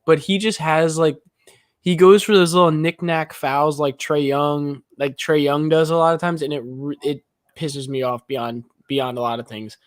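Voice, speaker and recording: A young man co-hosting a live sports podcast, chatting excitedly and rambling through his reactions to the game. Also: fairly high pitch, no echo, studio quality. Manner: excitable, rambling, quick asides. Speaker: podcast co-host.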